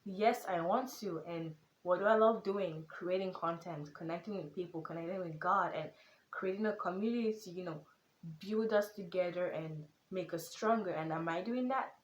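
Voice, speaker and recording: A teenage girl with a Nigerian accent that carries hints of British and American, talking straight to camera in a casual vlog. Her voice sits fairly low for a girl, and she speaks with earnest, animated emphasis. The room around her is echoey. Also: normal speed, a little hiss or hum. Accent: Nigerian, with some British and American. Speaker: content creator.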